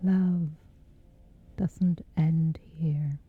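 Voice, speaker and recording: A woman speaking softly in a whispery, breathy voice, gently and soothingly guiding a sleep meditation with long, measured pauses. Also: low-pitched, steady tone. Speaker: guided meditation host. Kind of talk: slow guided sleep meditation. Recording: dull muffled audio under music. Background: music.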